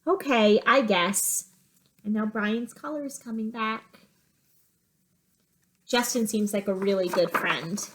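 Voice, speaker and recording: A woman's voice: mid-range voice, a little slow, pauses often, a little hiss or hum, low-quality recording. A woman teacher reading a picture book aloud to children, with simple explanatory asides.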